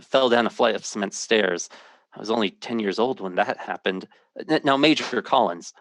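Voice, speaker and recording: A man's voice: fairly low pitch, normal speed, a bit bright. An actor playing a worried, impatient American man who searches for his missing brother and narrates it in radio drama style, earnest and clipped. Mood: hesitant. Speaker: lead actor and first-person narrator.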